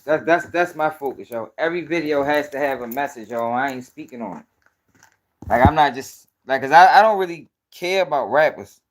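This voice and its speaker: A man's voice: mid-range voice, expressive, a little fast. A man with a Baltimore accent hosting a casual livestream, talking to viewers in loose, profane street slang. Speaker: host of a commentary channel.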